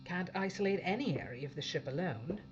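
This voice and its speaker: A tough female starship captain, played by an actress, pitched fairly low with lively swings. She is blunt and authoritative, in sci-fi audio drama style. The recording is slightly muffled. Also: fairly quick, noisy background, lightly acted. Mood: wary, determined. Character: tough, commanding female captain of a failing spaceship. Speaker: actor playing a ship's captain.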